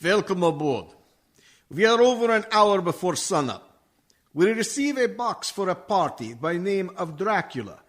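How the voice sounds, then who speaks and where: An actor in a radio play voicing an agitated foreign sea captain who speaks broken English. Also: male voice, high voice, very animated, normal speed, a bit bright, heavily acted. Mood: businesslike.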